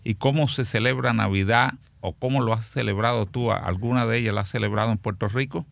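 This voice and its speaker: A man's voice: lowish voice, creaky, a bit bright, no room sound. A man hosting a community radio panel, speaking formally with frequent hesitations. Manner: formal, measured, with many "eh" fillers. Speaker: radio host.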